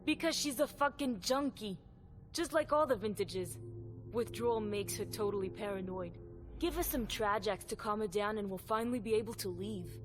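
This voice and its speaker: A woman's voice: medium pitch, normal speed, close to an everyday voice. An actress playing a scornful, impatient vampire woman with a blunt, disdainful manner in a video game. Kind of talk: video game drama acting. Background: game music.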